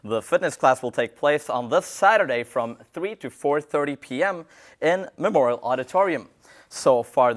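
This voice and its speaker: A man's voice: medium pitch, a bit bright. A young man, Norwegian native, co-hosting a college radio arts segment, rambling in chat and reading announcements. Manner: halting, rambling with fillers in chat. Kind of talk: casual chat and read announcements.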